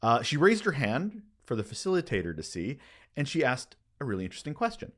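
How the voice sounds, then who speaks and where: A man hosting a podcast, delivering a conversational scripted monologue with emphatic, expressive phrasing and dramatic pauses. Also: mid-range voice. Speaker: podcast host.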